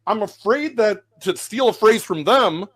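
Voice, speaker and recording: A man with a somewhat high voice speaks earnestly on a panel. His tone turns preachy and firm as he drives his point home. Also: expressive, a little slow, fairly bright tone. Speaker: panel guest. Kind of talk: casual panel chat.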